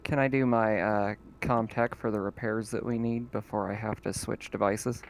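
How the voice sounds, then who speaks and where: A man's voice: lowish voice, fairly even intonation, normal speed, a little hiss or hum. A man talking casually as a player in a tabletop game. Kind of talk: casual chat.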